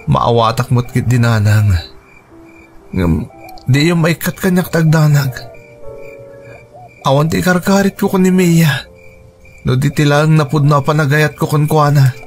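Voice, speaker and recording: A man's voice: fairly high pitch, unhurried, pauses often. A man with an Ilocano accent playing a lovesick, hardworking young farmer who broods anxiously, in a radio drama. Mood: anxious, lovelorn. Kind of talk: Filipino radio drama acting. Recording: music under the voice.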